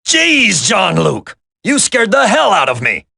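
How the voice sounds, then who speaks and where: An actor playing a stressed, brainy computer geek on a military team in a video game. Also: male voice, sits high, wildly swooping pitch, slow, gravelly, pushed and bright, husky, dry recording, studio quality, exaggerated voice. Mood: stressed, irritable. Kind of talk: dramatic video game voice acting.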